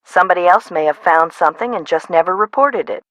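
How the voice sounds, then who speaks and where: Woman voicing a frazzled park boss lady for a video game, bright crisp edge, dry delivery, super close clean sound. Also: fairly low pitch, quick pace, no echo, close to an everyday voice, rough recording. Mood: matter-of-fact, regretful. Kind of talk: scripted video game voice acting.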